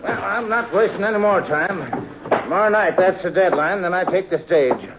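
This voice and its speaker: An actor playing a curt, sour railroad agent in a 1950s radio drama, speaking in clipped, gruff lines. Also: male voice, sits high, lively intonation, normal speed. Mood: bitter. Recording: dull old radio recording with hiss.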